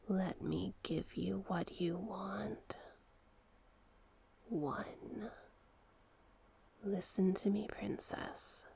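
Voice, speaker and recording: A woman voicing a seductive, manipulative sea witch in an ASMR roleplay, doting yet sinister, with a hushed, breathy, coaxing delivery. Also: mid-range voice, exaggerated voice. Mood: hypnotic, whispering. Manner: slow, coaxing, affectionate endearments. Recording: noticeable background noise and ambience.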